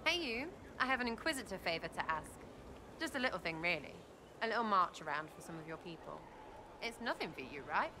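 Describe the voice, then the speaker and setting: A woman's voice: lowish voice, lively intonation, brisk, background noise, natural voice. A woman voicing a cheeky, irreverent young elf rogue in a video game, breezy and slangy with a British accent. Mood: coaxing. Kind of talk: video game dialogue acting.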